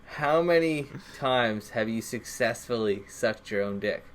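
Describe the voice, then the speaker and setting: A man's voice: medium pitch, expressive, measured pace. A man co-hosting a podcast, talking in an easygoing, crude way full of fillers. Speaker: podcast co-host. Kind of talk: casual chat.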